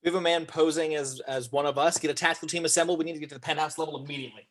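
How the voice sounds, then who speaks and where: A man's voice: a little high, steady tone, rapid-fire, fairly bright tone, close to an everyday voice. A man acting an intense, urgent federal agent in command, in a script table read. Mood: urgent, demanding. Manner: urgent, interrogating.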